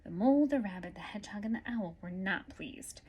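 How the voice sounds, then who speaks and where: A woman reading a picture book aloud to children in an animated way, adding little asides as a storyteller. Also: medium pitch, fairly quick, breathy edge. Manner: animated, with asides to young listeners. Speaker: story-time reader.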